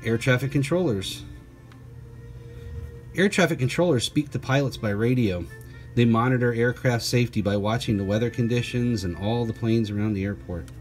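Male teacher for picture book read-aloud, mid-pitched, breaking off for conversational asides and questions to kids. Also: normal speed, soft background music. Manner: prompts listeners with questions and asides. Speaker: teacher reading aloud to children.

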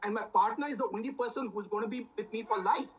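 Husky man, sixty, earnest panelist on a video call. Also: high-pitched, quick pace, highs cut off. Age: 60s. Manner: earnest, pleading, filler-heavy. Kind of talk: personal argument in a TV debate.

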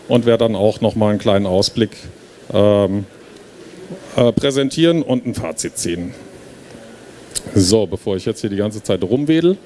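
A middle-aged man with a slightly high voice explains things conversationally from a stage. He speaks into a handheld mic, with some fair hall noise around him. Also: dark tone. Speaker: game developer presenting. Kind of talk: informal stage presentation. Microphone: handheld microphone. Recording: noisy hall, filmed from audience.

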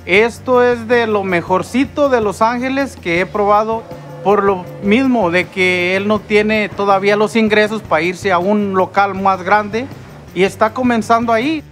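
A man in his thirties speaks in a high-pitched voice. He gives an informal testimonial as a worker would to a camera. Music plays faintly behind him. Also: normal speed, fairly bright tone. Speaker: laundry worker giving a testimonial. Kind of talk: spoken testimonial. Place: industrial laundry machine floor.

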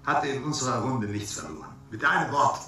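An actor playing a curt, scornful military general with clipped, dismissive delivery in a radio drama. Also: male voice, medium pitch, big pitch swings, fairly quick, bright, forceful tone. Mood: contemptuous. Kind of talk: German science fiction radio drama acting. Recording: very echoey, distant, music behind.

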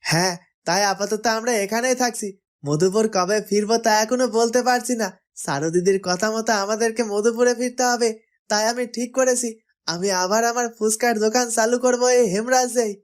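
A woman's voice: a little high, brisk, close to an everyday voice. A woman voicing a loyal, earnest young male street-food seller in a cartoon. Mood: earnest, determined. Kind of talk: cartoon voice acting.

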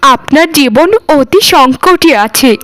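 A poor, devoted maid pleads humbly and respectfully in a high voice, as a woman plays her. She is gentle and loyal, a cartoon servant. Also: slow pace, faint music behind. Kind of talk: cartoon voice acting.

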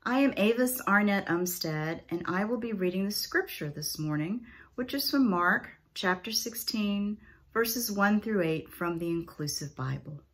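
A middle-aged woman gives a formal scripture reading. She takes her time, phrasing each line with care, in a voice of medium pitch. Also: expressive, measured pace. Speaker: scripture reader. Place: small room at home.

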